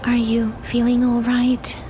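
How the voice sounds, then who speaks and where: A woman playing a gentle, earnest young adventurer in a video game, explaining things in a soft, solemn, thoughtful way. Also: a little high, completely flat delivery, a little slow, background noise, close to an everyday voice. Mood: concerned, resigned. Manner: soft, solemn, breathy sighs. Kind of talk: video game dialogue, expository. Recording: music behind the voice.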